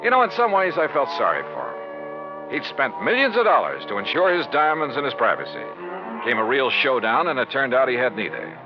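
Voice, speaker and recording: An actor playing a sharp, confident insurance investigator who narrates in brisk, wry 1950s radio detective style. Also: male voice, mid-range voice, wildly swooping pitch. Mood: reflective, wry. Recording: muffled 1950s radio recording with music.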